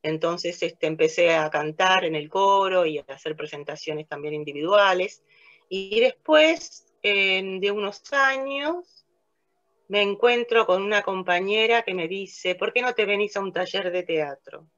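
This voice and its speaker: A woman's voice: mid-range voice, measured pace, fairly bright tone, dull audio. An older woman from Italy, an interview guest who works as a theatre narrator and director, telling her story in a casual and hesitant way, full of fillers and restarts. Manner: hesitant, many fillers and restarts, laughs often. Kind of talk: casual interview, recounting memories. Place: small room with a plain light wall. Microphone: video call, webcam facing her.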